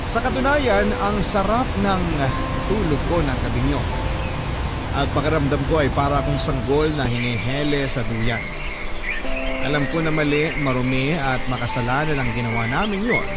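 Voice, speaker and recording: A man narrating a listener's confession letter in an earnest, polite way. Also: medium pitch. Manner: earnest, polite, addressing the host. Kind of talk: scripted confessional storytelling. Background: loud background music.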